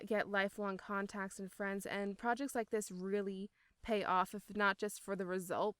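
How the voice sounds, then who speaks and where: Clear, smooth-voiced woman in an interview. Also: mid-range voice, no echo, clean studio sound. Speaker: voice actor being interviewed. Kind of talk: casual, enthusiastic interview talk.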